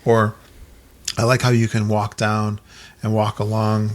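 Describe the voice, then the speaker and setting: A man's voice: fairly low pitch, monotone, measured pace. Guy cohosting a podcast, upbeat, laughs a lot, just chatting. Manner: enthusiastic, laughs often. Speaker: podcast co-host. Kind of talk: casual chat.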